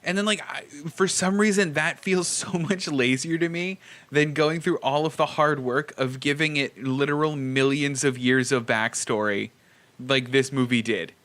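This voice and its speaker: Male co-host, bright mid-pitched voice, animated and wry, casual film chat. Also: normal speed. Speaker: podcast co-host.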